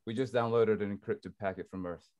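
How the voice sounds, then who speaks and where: A man playing a crude, grumbling senior engineer in a screenplay table read, gruff and sour. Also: fairly low pitch, fairly even intonation, brisk, darkish tone, no room sound, dull audio, natural voice. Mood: dry, low-key. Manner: gruff, sour, foul-mouthed.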